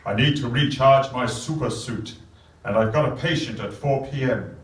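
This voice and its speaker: A man's voice: medium pitch, moderate pace, natural voice. A man playing a vain, self-important superhero who declaims grandly and earnestly in a live stage comedy. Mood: boastful. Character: vain, boastful, self-important male superhero with heroic airs. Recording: echoey theatre, distant microphone.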